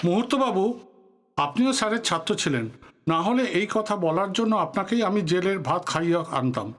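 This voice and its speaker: An actor playing a touchy, authoritative veteran politician in an audio drama, formal and commanding in manner. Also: male voice, sits high, animated, a little fast, a bit bright. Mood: indignant. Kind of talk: Bengali audio drama acting.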